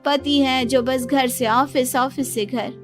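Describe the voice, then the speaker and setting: A woman's voice: high voice, steady tone, slow pace, soft background music. A woman voicing a self-pitying, boastful young wife in a cartoon story, complaining dramatically.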